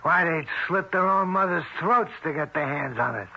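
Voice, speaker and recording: A tough, cocky crook in a vintage radio drama. His voice is husky, forceful and fairly high, with streetwise, ungrammatical patter. The old recording sounds dull. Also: animated, moderate pace, exaggerated voice. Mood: cynical, persuasive. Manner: streetwise slangy talk, ungrammatical, persuasive. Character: scheming tough crook, cocky, desperate for a fortune. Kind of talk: 1970s radio drama acting.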